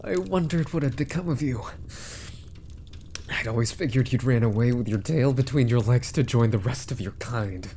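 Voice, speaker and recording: A man playing an arrogant, scheming immortal mage minister in an ASMR roleplay, haughty and deliberate, trailing into hushed asides. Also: mid-range voice, slight background noise, lightly acted. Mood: contemptuous surprise. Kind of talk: ASMR roleplay villain monologue.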